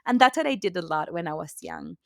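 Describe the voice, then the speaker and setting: A woman's voice: fairly low pitch, wildly swooping pitch, quick pace, creaky, dry recording, clean studio sound. A middle-aged woman from Argentina, a native Spanish speaker, chatting as an author guest in a podcast interview, laughing often and restarting her sentences.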